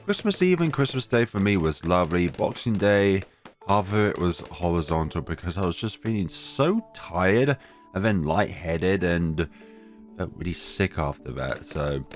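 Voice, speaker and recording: A man's voice: low-pitched, animated, moderate pace, faint music behind. A man with a cold narrating gameplay in a casual, wry way, talking to his game units as he plays. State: has a cold, voice deeper than usual. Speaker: game guide creator.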